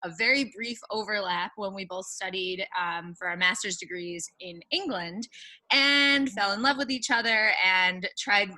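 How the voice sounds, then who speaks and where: Give the voice of an American woman in her thirties with a bright, forward tone. She sits at an ordinary female pitch and tells personal stories casually as a guest, candid and quick to joke. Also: rough recording. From upstate New York, United States. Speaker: interview guest. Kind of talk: casual chat, personal storytelling.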